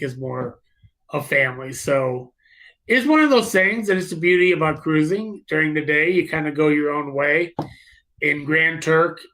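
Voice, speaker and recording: A man with a bright, forward voice pitched a bit high talks casually as a live show co-host. He rambles, restarting and doubling words as he explains things. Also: creaky, poor audio. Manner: rambling, halting with repeated words. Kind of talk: casual chat on a live stream.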